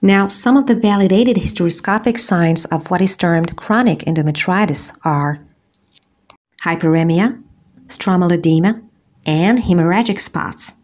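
A woman from Algeria, a gynecologist, giving a scripted medical lecture as a voice-over on slides, measured and precise. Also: lowish voice, darkish tone. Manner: measured, deliberate, precise enumeration.

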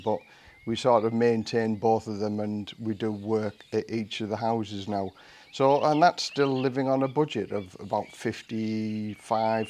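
A man's voice: mid-range voice, darkish tone, slight background noise. A middle-aged man talking casually to camera in a plain-spoken, rambling way, outdoors in a garden. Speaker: vlogger. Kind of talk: casual vlog talk to camera.

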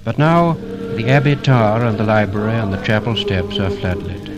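A British man narrating a scripted 1950 commemorative record in a solemn, measured, literary manner. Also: on the low side, highs cut off, over loud music.

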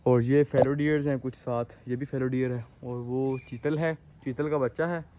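A man's voice: medium pitch, fairly even intonation, moderate pace, warm tone, a little hiss or hum. Young Pakistani man giving a casual tour. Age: young adult. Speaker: farm owner and vlogger. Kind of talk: casual vlog tour, explaining to viewers. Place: outdoors on an open farm. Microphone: handheld phone at arm's length.

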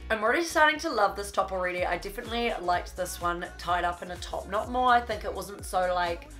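A woman's voice: medium pitch, expressive, brisk, fairly bright tone, soft background music. A young woman, blocked up from a cold, gushing casually through a clothing haul in a small bedroom studio. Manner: chatty, gushing, lots of "like". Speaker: fashion vlogger.

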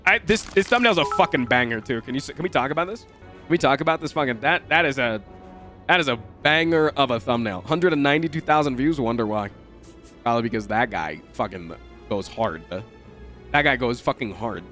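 A man's voice: mid-range voice, a little fast, soft background music. A young man with a nasal voice, swearing and joking through live gameplay commentary on stream from a room at home. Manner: profane, excitable, jokey.

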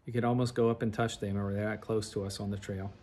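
A man's voice: lowish voice, little pitch movement, quick pace, a little mellow. A middle-aged man narrating a travel slide show in a conversational, orderly and detailed way. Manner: recounts in an orderly, chronological, detailed way.

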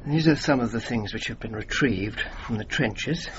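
An actor playing an earnest, determined army officer in a radio drama, speaking in a formal, measured, persuasive way. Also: male voice, medium pitch, natural voice. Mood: sympathetic. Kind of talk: radio drama dialogue and narration. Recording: muffled radio recording with clear noise.

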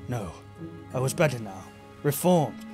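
A rain-soaked crime game's narrator, a haunted detective acted by a man brooding in measured lines over the soundtrack. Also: medium pitch, animated, mellow, soft background music, close to an everyday voice. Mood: tense, brooding. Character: brooding, haunted noir male detective, self-doubting monster.

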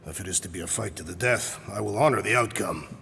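A man's voice: on the low side, fast talker, vocal fry, sharp tone, long reverb tail, slight background noise. A man playing a hulking, literal-minded alien warrior in a video game, speaking formally and solemnly. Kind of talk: video game character acting.

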